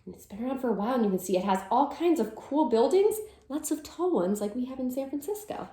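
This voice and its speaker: A woman's voice: sits high, lively intonation, fast, mellow, roomy sound. A woman teacher reading a picture book aloud to children, with sing-song verses and simple explanations.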